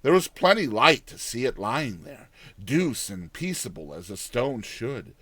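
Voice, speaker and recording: A man narrating a dramatized audiobook tale in an expressive, theatrical storytelling manner. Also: mid-range voice, unhurried, breathy edge, no room sound.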